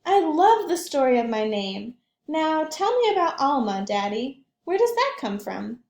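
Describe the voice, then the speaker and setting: A woman voicing an eager, affectionate young girl from a storybook, exclaiming with drawn-out emphasis. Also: high-pitched, big pitch swings, darkish tone, reverberant, voice pushed up, heavily acted. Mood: eager, curious.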